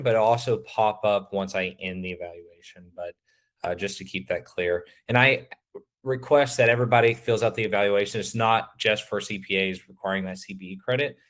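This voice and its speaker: A man presenting a webinar, his voice a little raspy and hoarse, talking casually with many fillers. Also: fairly low pitch. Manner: casual, filler-heavy, loose explanations. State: slightly hoarse.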